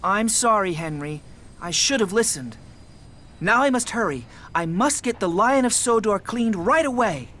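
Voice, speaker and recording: A woman voicing an eager, earnest young male steam engine in a children's cartoon, with a polite, excitable manner. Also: medium pitch, moderate pace, a little hiss or hum, natural voice. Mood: apologetic, earnest. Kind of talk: children's cartoon character acting.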